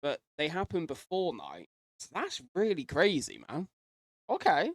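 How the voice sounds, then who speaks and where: A man's voice: fairly high pitch, huge pitch swings, measured pace, no echo, studio quality. A man giving casual, rambling reaction commentary as a host, speculating aloud and mildly sweary.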